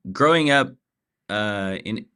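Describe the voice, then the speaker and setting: A man's voice: lowish voice, expressive, takes it slowly, bright, forceful tone, smooth. A man in his forties from Pakistan, a podcast guest talking casually with many fillers and restarts. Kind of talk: casual interview chat.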